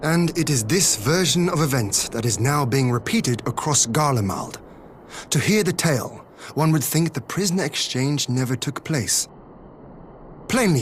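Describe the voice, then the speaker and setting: Actor as a composed, formal young noble lord. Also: male voice, medium pitch, a little hiss or hum, lightly acted. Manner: formal, measured, stately. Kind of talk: video game cutscene voice acting.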